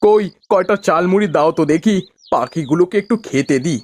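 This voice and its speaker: A man's voice: sits high, a little fast, darkish tone, natural voice. A man playing a kindly, eager village rice farmer and husband in a Bengali cartoon story, with homely, exclamatory talk. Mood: cheerful.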